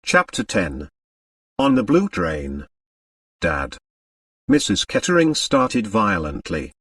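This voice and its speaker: Male audiobook narrator for fiction, expressive, varied intonation, mic set slightly back. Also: lowish voice, a little slow, slightly rough, no room sound, clean studio sound, distant mic. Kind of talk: scripted narration of a novel.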